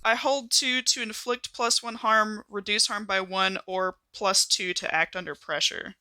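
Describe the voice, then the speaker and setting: A woman's voice: medium pitch, fairly even intonation, unhurried, pushed and bright, no room sound. A woman talking casually as a player in a tabletop game.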